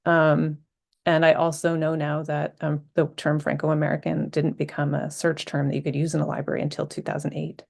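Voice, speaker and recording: A woman panelist from Vermont giving a reflective personal account, halting with frequent ums and restarts. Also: low-pitched, monotone, gravelly, darkish tone.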